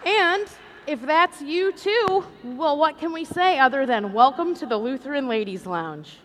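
High, very animated woman co-host. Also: measured pace, some chatter behind, slight background noise. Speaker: podcast co-host. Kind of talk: live stage show banter. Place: large convention hall stage.

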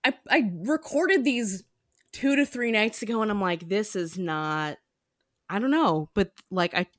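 A woman's voice: mid-range voice, measured pace, no echo. Playful woman podcast cohost, bouncy voice, dry close mic. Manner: animated, laughing, playful. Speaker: podcast co-host. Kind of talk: casual chat.

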